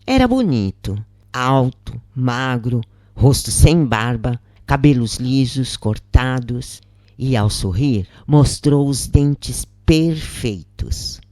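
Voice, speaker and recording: Story narrator, mid pitch, very lively intonation, measured pace, dry close sound. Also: no echo. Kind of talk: scripted first-person story narration.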